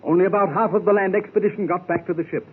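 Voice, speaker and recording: A husky veteran explorer. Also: male voice, high voice, fairly even intonation. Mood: grave. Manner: grave, measured storytelling. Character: seasoned male explorer recounting his expedition. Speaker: club member telling his tale. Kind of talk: 1930s radio acting. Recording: tinny 1930s radio recording.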